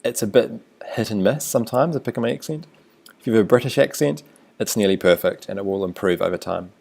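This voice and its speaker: A man with a New Zealand accent, a teacher talking through a screencast tutorial in a relaxed, thinking-aloud way. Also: fairly low pitch, quick pace, creaky.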